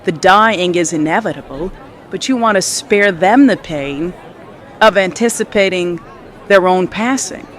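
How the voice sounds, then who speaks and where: A woman playing an alluring, challenging sea siren in a mythic audio drama, speaking in a deliberate, probing, theatrical way. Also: on the low side, some chatter behind, close to an everyday voice. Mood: knowing, piercing.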